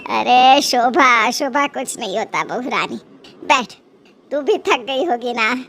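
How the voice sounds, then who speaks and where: A woman plays an elderly cartoon mother-in-law with a high, lively voice that swings widely. She talks slowly and syrupily, milking her self-pity like a martyr. There is noise behind her. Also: exaggerated voice. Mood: sweetly caring. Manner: melodramatic, self-pitying, syrupy. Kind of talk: Hindi cartoon moral story acting.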